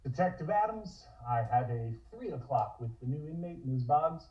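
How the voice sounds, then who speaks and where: A man's voice: on the high side, sing-song, moderate pace. A man playing a businesslike, sceptical police detective in a script table read, with clipped, dry questions. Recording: echoey, muffled, distant call audio.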